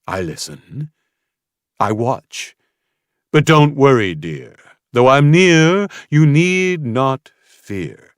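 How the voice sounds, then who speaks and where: A man as a scruffy eccentric male drifter, audiobook character, sly, erratic, theatrical rhyming, drawn-out phrasing, dry close sound. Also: mid-range voice, very animated, dry recording, voice pushed up. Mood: sly, teasing.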